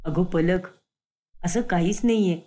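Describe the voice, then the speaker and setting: A woman voicing a sneaky young husband in a cartoon story, pitching her voice low. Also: unhurried, mellow, lightly acted. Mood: uneasy. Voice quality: lowered voice.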